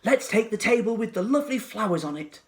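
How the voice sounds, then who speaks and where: A man voicing an eager, excited young child in a storybook, speaking in keen exclamations. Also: fast. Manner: high, bright-eyed, keen exclamations.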